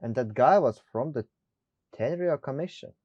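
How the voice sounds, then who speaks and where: A man's voice: mid-range voice, animated, normal speed, dry recording. A man giving casual let's play commentary, with non-native English phrasing, recorded close on a dull microphone. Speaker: gaming YouTuber.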